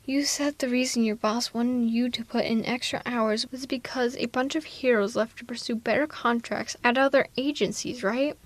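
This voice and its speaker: High-voiced, wry villainess played by a woman. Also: fairly even intonation, moderate pace, few pauses, dry recording, natural voice. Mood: persuasive, concerned. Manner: wry, persuasive, speaking directly to one listener. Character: self-confessed villainess, sardonic but protective, coaxing. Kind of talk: ASMR audio roleplay, intimate one-sided dialogue.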